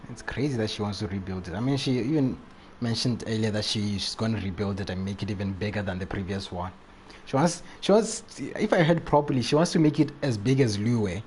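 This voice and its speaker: A man's voice: lowish voice, a little fast, darkish tone, slight background noise. A man live streaming a video game, chatting casually in slangy, repetitive phrases. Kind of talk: casual live chat while playing.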